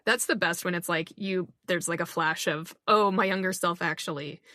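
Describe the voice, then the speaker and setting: A woman's voice: fairly low pitch, pushed and bright, no echo. Woman host, eager interviewer, dry close recording. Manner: enthusiastic, quick reactions. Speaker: podcast host. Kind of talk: casual podcast interview.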